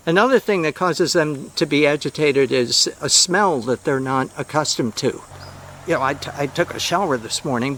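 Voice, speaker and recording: A woman's voice: low voice, a bit bright. Woman in her 60s, outdoor farm vlog, conversational explaining, emphatic storytelling, audible ambient noise. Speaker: farm vlogger, presenter. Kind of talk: informal explanatory nature talk to camera. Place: outdoors among trees on a farm. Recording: clear outdoor background noise.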